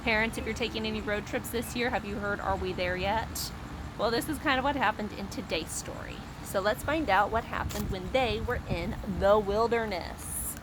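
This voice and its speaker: A woman's voice: mid-range voice, normal speed, hardly any pauses, background noise. A woman hosting a Bible story time for young children, explaining simply and expressively with questions and asides.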